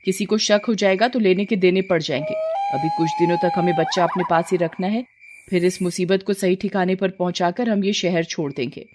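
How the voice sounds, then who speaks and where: A woman voicing a crabby, mercenary child thief in an animated horror story, curt and scolding. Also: fairly low pitch, brisk, heavy background noise. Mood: snappish.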